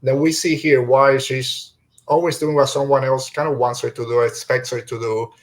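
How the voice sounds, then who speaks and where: A man's voice: medium pitch, level tone, normal speed. A man hosting a casual podcast review, retelling things in an informal, rambling way. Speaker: podcast host.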